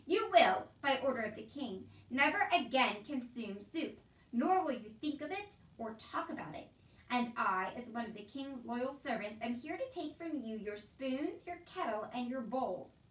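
A woman's voice: a little high, expressive, measured pace, fairly bright tone. A dutiful, officious male soldier from a storybook, acted out by a woman reader. He proclaims formally and sternly. The sound is roomy and set back from the microphone. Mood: officious, firm. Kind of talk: storybook read-aloud character voice. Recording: roomy echo, distant from mic.

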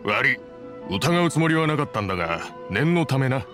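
A man's voice: medium pitch, sing-song, moderate pace, fairly bright tone, soft background music. A man voicing a loyal young boatbuilder in an anime game, speaking in a casual, blunt masculine way. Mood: wary, matter-of-fact. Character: young boatbuilder, wary but loyal, easygoing friend.

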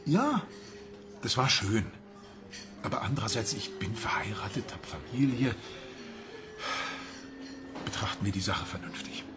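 A man's voice: lowish voice, big pitch swings, unhurried, pauses often. An actor playing a married man in a German radio crime drama, hesitant and defensive as he justifies himself. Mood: awkward, firm. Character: married man evasively ending his affair, self-justifying. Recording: music behind the voice.

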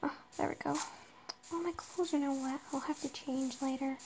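A woman with a slightly raspy voice, chatting casually to herself while she builds in a roleplay game, hesitant with lots of pauses. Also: high voice, fairly even intonation. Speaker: Minecraft roleplay player. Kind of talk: casual gameplay narration. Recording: noisy background.

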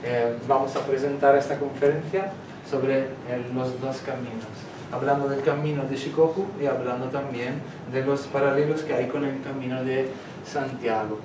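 A man's voice: medium pitch, fairly even intonation, moderate pace. Italian man lecturing in an echoey room. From Italy. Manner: gestures while talking, hesitant, searches for words. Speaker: guest speaker. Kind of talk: public lecture. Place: small lecture room in a civic centre. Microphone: far camera, no mic. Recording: echoey room from far off, noisy.

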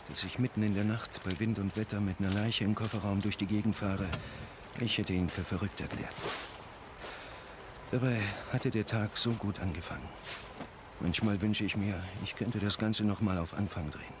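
A man's voice: on the low side, steady tone, normal speed, noisy background, close to an everyday voice. An actor playing an ordinary, exasperated family man in a naturalistic radio play, talking in an impatient, conversational way. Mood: weary, reflective. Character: exasperated ordinary family father and reluctant accomplice.

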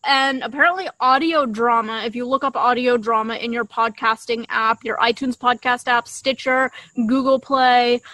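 A woman's voice: a little high, steady tone, normal speed, hardly any pauses, pushed and bright, no room sound, low-quality recording. A young adult woman co-hosting a podcast in casual conversational style, recorded outdoors on a shared desktop microphone. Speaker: podcast co-host. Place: outdoors in a leafy garden. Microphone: shared desktop condenser microphone.

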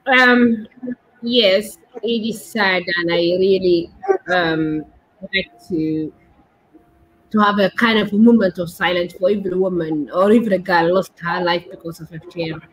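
A woman in her thirties with a Somali accent, explaining haltingly as an interview guest on a video call, searching for words. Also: on the low side, husky, poor audio. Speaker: guest, doctor and women's rights activist. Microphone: laptop or phone on a video call.

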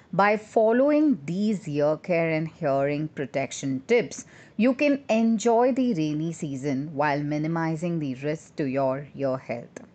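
Slow, low-pitched woman narrating. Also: a little mellow. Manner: measured, instructional reading. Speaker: podcast host. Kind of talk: scripted health podcast narration.

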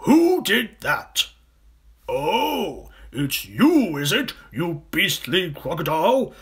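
Big scolding male elephant for a storybook man reading, raised pitch, blustery, loud and very animated. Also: slow, full character voice. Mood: annoyed, scolding.

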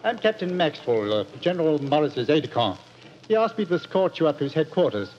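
An actor playing a courteous young army captain serving as an aide, in 1940s radio acting. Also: male voice, on the high side, sing-song, fast talker, highs cut off, slight background noise.

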